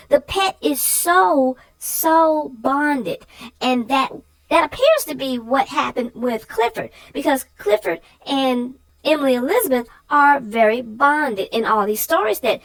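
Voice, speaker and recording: A woman's voice: sits high, animated, moderate pace, a bit bright, low-quality recording. A woman co-hosting a children's story channel, discussing stories in a conversational style.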